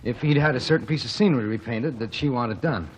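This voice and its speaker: A man's voice: mid-range voice, fast talker, slight background noise, close to an everyday voice, poor audio. An actor playing a plain-spoken working-class male witness in a 1940s radio courtroom drama, telling his testimony in a folksy way.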